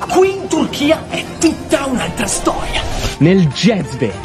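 A man's voice: high voice, huge pitch swings, measured pace. A young man with an Italian accent narrating a punchy social media travel clip in a theatrical, exclamatory way. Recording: loud music over the voice. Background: music.

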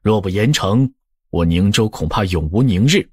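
A man's voice: fairly low pitch, lively intonation, moderate pace, darkish tone, slightly rough, dry recording, studio quality. A man playing an indignant, dignified official in an audiobook drama, speaking formally and firmly. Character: indignant, dignified male official, calculating husband. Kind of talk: audiobook drama acting in Mandarin.

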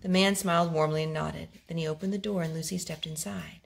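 A woman reading a picture book aloud at home, expressive and gentle, as a host talking to small children. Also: deep voice, brisk. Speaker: storytime host, reader to young children. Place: home living room with wooden floor. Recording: home video with clear background noise.

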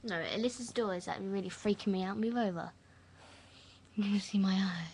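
A teenage girl chatting on a laptop webcam in her bedroom with a friend, giggly, with loud outbursts and a put-on lisp. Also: medium pitch, brisk, a little hiss or hum. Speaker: vlogger. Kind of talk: casual webcam chat with a friend. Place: bedroom at night.

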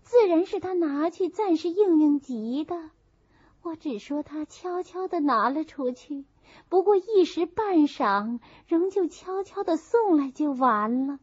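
A woman's voice: sits high, animated, unhurried, voice pushed up. A woman voicing a meek, resigned young lady in an audiobook, hesitant and passive. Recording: muffled, dull audio.

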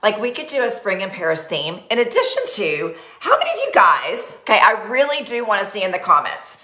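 A woman's voice: medium pitch, huge pitch swings, fairly quick, sharp tone, echoey room, rough recording. Bubbly Texan woman hosting a live party. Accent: Texas. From Texas. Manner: bubbly, chatty, talks with her hands. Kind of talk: live-streamed sales party. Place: small decorated home office. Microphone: webcam at desk.

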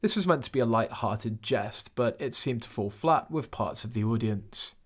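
A man reads a scripted news piece. His delivery stays even and uninflected throughout, and his pitch sits in a plain middle register. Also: moderate pace. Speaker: narrator.

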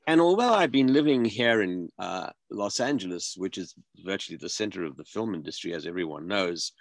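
A man in his seventies from South Africa, a guest on a video call interview, speaking earnestly and halting over repeated starts. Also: low voice, lively intonation, no echo. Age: 70s. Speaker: guest filmmaker. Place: home room.

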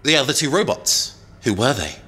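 An anxious young man new to the job in a science-fiction audio drama. His mid-pitched voice is bright and tight. He speaks earnestly and hesitantly. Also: big pitch swings, normal speed, husky, heavily acted. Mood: impatient. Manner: hesitant, worried.

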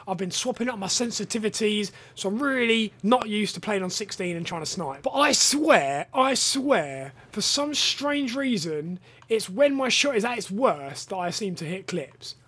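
A young man with a hoarse, partly lost voice, chatting casually over gameplay on a headset and swearing at the game. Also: high-pitched, animated, moderate pace, a bit bright. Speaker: gaming YouTuber and rugby coach. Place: home room, indoors.

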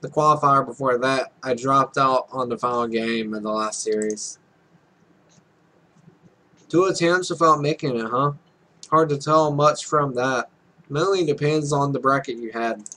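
A man's voice: medium pitch, unhurried, pushed and bright, poor audio. A young man reading a visual novel aloud as a let's play host, offhand, stumbling over lines and adding casual, sweary commentary. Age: young adult.

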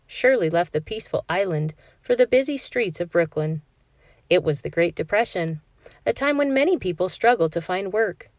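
A woman reading a children's picture book aloud in a clear, steady storytelling voice for a classroom. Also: on the low side, dry recording, clean studio sound. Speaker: read-aloud narrator.

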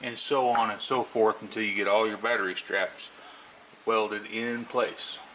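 A middle-aged man giving a casual hands-on tutorial, explaining haltingly and thinking aloud as he works indoors at a table. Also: on the low side, low-quality recording. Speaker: host of a tool repair video channel. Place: indoors at a wooden table at home. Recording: steady background noise, home video.

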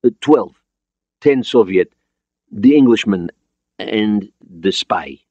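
A man voicing a weary Soviet naval officer with a Russian accent and formal, halting English in an audiobook. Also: fairly low pitch, expressive, a little mellow, no room sound, voice pushed up, exaggerated voice. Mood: dry.

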